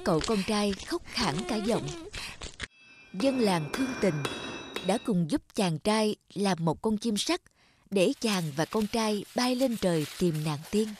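A woman giving scripted storybook narration for an animated fairy tale. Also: mid-range voice, a little slow, noisy background. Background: loud music.

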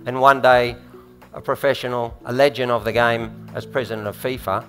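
A man's voice: mid-range voice, fairly even intonation, moderate pace, a bit bright, soft background music. A middle-aged man with an Australian accent gives a prepared, emphatic speech on a conference stage through a headset mic. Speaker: panelist, former footballer.

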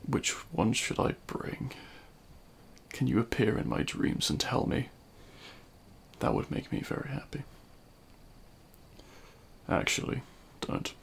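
A man playing a remorseful, self-loathing supervillain in an intimate audio roleplay, speaking in a halting, anguished way. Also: medium pitch, big pitch swings, vocal fry, a little hiss or hum, exaggerated voice. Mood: tender sorrow. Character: remorseful male supervillain confessing love at a grave.

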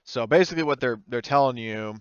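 A man improvising narration as game master of a tabletop game, speaking in a halting, informal way. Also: mid-range voice, smooth, no room sound, highs cut off. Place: indoors, online game session.

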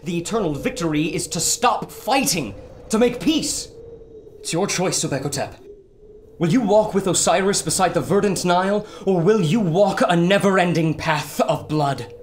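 An actor playing an earnest, learned doctor who makes peace, speaking dramatically in an audio adventure. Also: male voice, high voice, normal speed, a little hiss or hum, natural voice. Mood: earnest, persuading. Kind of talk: radio adventure drama acting.

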